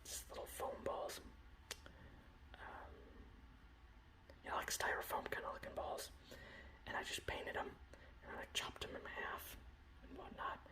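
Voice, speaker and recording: A man softly whispering in a casual, rambling ASMR style, breathy and close to the microphone, showing off a hobby. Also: measured pace, pauses often, rough recording. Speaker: ASMR video host. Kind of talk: ASMR, informal hobby show-and-tell.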